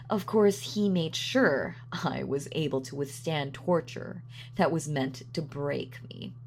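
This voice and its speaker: A woman's voice: on the low side, a little mellow, a little hiss or hum. A woman playing a sadistic, gloating female supervillain in a scripted roleplay audio, taunting and savoring her words theatrically. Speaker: voice actor.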